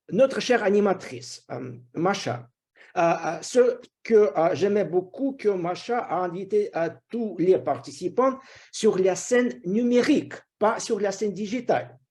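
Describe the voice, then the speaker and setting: A high-voiced man with a foreign accent gives a prepared evaluation. He is not a native speaker and talks slowly and haltingly, filling the gaps with hesitation sounds. His voice is dark and soft-edged. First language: not French. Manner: halting, hesitant delivery with constant filler sounds. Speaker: grammarian giving a report at a speaking club.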